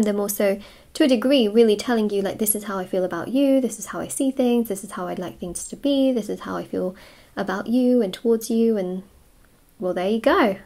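A woman's voice: mid-range voice, darkish tone. A woman giving a casual, unscripted tarot reading, musing aloud and rephrasing herself as she goes. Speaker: tarot reader and host. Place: indoors at a wooden table.

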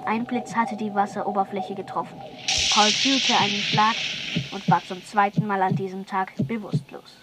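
A woman's voice: medium pitch, steady tone, normal speed, low-quality recording. A woman reading scripted narration for a children's radio play. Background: music.

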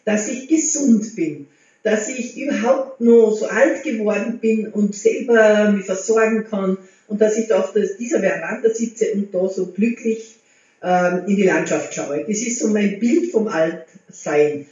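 A woman's voice: medium pitch, unhurried. Chatty woman in her sixties, echoey room. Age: 60s. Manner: chatty, gestures with hands, warm encouraging tone. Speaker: motivational vlogger. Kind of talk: casual talk to camera. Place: living room at home. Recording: very echoey room, slightly dull sound.

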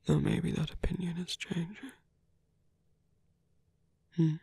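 A man playing a seductive, calm villain leader in an ASMR roleplay, breathy and intimate as he slowly coaxes a captive hero. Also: fairly high pitch, exaggerated voice. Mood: earnest, persuasive. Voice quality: breathy, husky, often whispering. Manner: slow, intimate, persuasive. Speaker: voice actor. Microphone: close, dry microphone.

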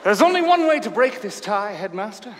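A man's voice: high-pitched, sing-song, a little fast, a bit bright, slight background noise. A man playing a vain, scheming, flamboyant schoolteacher with a smug, mock-sweet manner, in a comic staged reading. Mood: smug, goading. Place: on stage in a large hall. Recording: echoey live hall.

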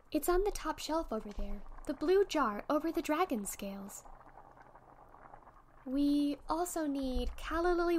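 A woman voicing an encouraging young witch friend in a soft, patient ASMR roleplay, with fizzing, bubbling effects. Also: high-pitched, slight background noise. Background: fizzing, bubbling sound effects.

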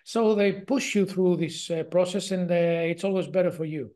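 A man's voice: high-pitched, moderate pace, dark tone. A man in his forties from Greece with a strong Greek accent, a guest on an interview, talking in a hesitant way with many fillers and restarts over a video call. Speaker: guest researcher. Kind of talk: casual interview talk. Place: home office with shelves.

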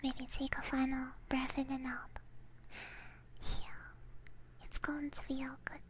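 A woman softly whispering a guided relaxation for ASMR, breathy, soothing, gentle and reassuring. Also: high voice, monotone, slow. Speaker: ASMR streamer.

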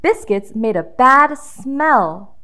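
A woman's voice: sits high, big pitch swings, slow pace, bright, forceful tone. A woman reading a children's storybook aloud with dramatic emphasis, drawing out key words for young listeners.

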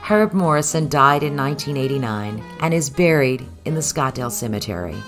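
Low-pitched woman narrating a documentary. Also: lively intonation, fairly quick, faint music behind.

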